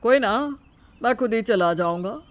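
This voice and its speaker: Woman playing a slow-talking freeloading old uncle. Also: fairly high pitch, lively intonation. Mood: mock offended. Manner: wheedling, leisurely. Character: freeloading, shameless older uncle overstaying as a houseguest.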